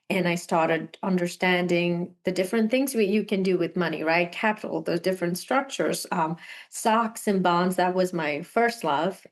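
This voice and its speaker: A young woman from Sri Lanka, a guest talking over a video call, telling her story earnestly and laughing often. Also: mid-range voice. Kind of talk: casual interview conversation.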